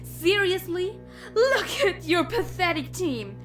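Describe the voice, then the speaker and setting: A woman's voice: very high voice, lively intonation, takes it slowly, a bit bright, full character voice. A woman playing a cruel, mocking female villain in an audio drama, with theatrical menace and music behind her. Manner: taunting, theatrical.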